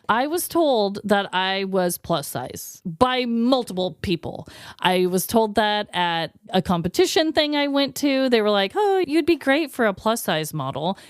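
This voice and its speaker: Lively, smooth-voiced American woman chatting candidly. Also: medium pitch, measured pace, no echo, clean studio sound. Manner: candid, chatty, freely swearing. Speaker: podcast host. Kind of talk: casual personal storytelling.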